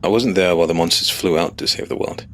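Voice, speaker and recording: A man's voice: sits low, quick pace, fairly bright tone, slight background noise. A man reading a scripted first-person fantasy story as its narrator.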